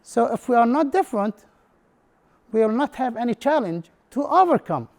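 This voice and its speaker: A man with a high-pitched voice gives a presentation in English, which is not his first language; his first language is Arabic. He talks as an instructor to an audience in a room and keeps putting questions to them. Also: sing-song, normal speed, creaky, darkish tone. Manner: non-native English, asks the audience questions. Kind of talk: prepared talk to an audience.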